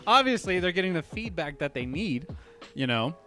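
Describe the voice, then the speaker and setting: A man's voice: a little high, sing-song, moderate pace, sharp tone, faint music behind. A man co-hosting a podcast, chatting casually in a hesitant way and laughing easily. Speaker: podcast co-host. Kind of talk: casual chat.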